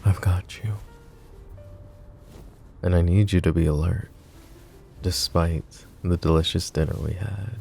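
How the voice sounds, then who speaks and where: A man voicing a flirty, possessive and protective mafia boss in an ASMR roleplay, teasing and soft-spoken. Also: sits low, steady tone, unhurried, vocal fry, pauses often, soft background music. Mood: tender teasing.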